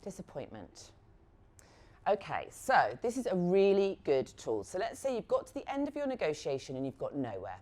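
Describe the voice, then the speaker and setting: A middle-aged woman giving a frank, lively workshop talk on negotiation, acting out short example conversations as she goes. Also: lowish voice, moderate pace. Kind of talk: live workshop talk to assistants.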